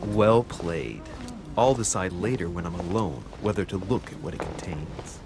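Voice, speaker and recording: Low-voiced, courteous young gentleman. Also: expressive, normal speed, gravelly, very noisy. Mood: cool, musing. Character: polite, composed, calculating young gentleman, formal. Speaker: actor in a narrative adventure game. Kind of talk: cinematic game dialogue. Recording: game dialogue over background music.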